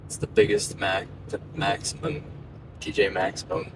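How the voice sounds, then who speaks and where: A man's voice: fairly low pitch, normal speed, over music, low-quality recording. A man joking and teasing casually as a passenger and driver on a road trip. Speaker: vlogger's fiancé, driver. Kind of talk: casual banter. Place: inside a car. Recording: off-mic in a car with clear road noise.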